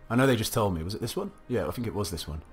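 Deep-voiced man musing over gameplay. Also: lively intonation, very fast. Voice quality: slightly gravelly. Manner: musing aloud, chatty, self-talking. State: recovering from a cough, slight gravel. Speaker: let's play commentator. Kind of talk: casual gameplay commentary. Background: faint game music.

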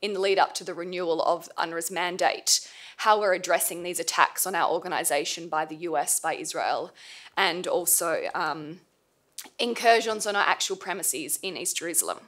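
A woman's voice: fairly low pitch, moderate pace, fairly bright tone. A young woman speaking on a panel at a table mic, hesitant with many fillers, her voice tired and fading. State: losing her voice. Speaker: panel speaker, former intern. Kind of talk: informal panel talk. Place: seminar room, panel table.

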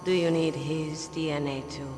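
A woman's voice: deep voice, flat delivery, moderate pace, noisy background, natural voice. An actress playing a cold, aloof female sniper from Kurdistan in a video game, cool and detached. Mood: cold, scornful. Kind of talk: dramatic video game voice acting. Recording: long reverb, music underneath.

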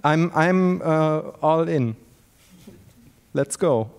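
A man's voice: a little high, extremely slow, a little mellow. A middle-aged man speaking on a panel discussion, into a handheld mic on a stage, hesitant and full of fillers and restarts. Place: large stage in a hall.

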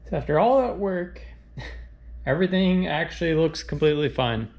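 A man's voice: on the high side, expressive, moderate pace, creaky, a little mellow, dull audio. A man in his thirties talking casually to the camera as he takes apart a car engine in his home garage, thinking aloud with fillers and trailing doubts. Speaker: car channel host. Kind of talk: casual garage commentary while working. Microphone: action camera on body and tripod.

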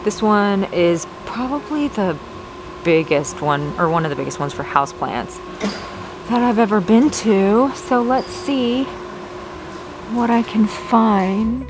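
A woman's voice: mid-range voice, expressive, slow pace. A woman giving casual, chatty shopping vlog commentary, appreciative and half talking to herself as she browses, recorded on a handheld camera in a large store garden center. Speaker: vlogger, host. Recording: clear store background noise, ventilation hum.

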